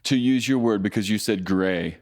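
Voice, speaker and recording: A deep-voiced man hosts a relaxed conversation, his pitch sitting very low. He affirms his guest often and turns the guest's words into thoughtful follow-up questions. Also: moderate pace. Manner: affirming, short "yeah" backchannels, reflective. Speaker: interview host. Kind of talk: casual interview questions.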